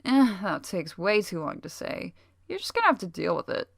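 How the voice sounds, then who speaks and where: A woman voicing a blunt, impatient, teasing youngster in an animated drama. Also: on the low side, moderate pace, gravelly, no echo, exaggerated voice. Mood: dismissive.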